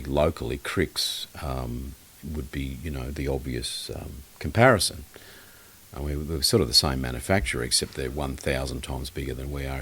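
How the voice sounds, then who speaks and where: A man's voice: rumbling low, breathy edge. A man talking casually as an expert guest in a podcast interview, opinionated and blunt, often rambling as he explains things. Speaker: guest loudspeaker designer and maker.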